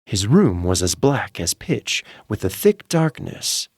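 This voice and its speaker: A man playing a nervous, obsessive madman confessing to murder in a Gothic horror story, with theatrical, deliberate, emphatic pauses. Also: on the low side, big pitch swings, husky, no room sound, studio quality, exaggerated voice. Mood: cunning, intense.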